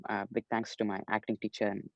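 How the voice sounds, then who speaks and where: A man's voice: on the low side, completely flat delivery, fast, dry recording, lo-fi sound. A young man from Chennai in his teens, polite and earnest, often saying "you know" while telling his story in an interview. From Chennai, India. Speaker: young actor and musician, interview guest. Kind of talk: casual interview.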